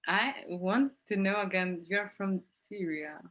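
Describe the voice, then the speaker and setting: A woman's voice: mid-range voice, a little slow, dull audio. A young woman in a casual personal conversation, speaking informally and peppering her sentences with "like".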